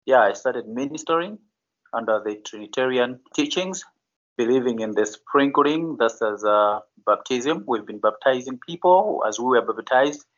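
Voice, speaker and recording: A Malawian pastor answering interview questions slowly and hesitantly, with many fillers and restarts. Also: male voice, medium pitch, a bit bright, lo-fi sound.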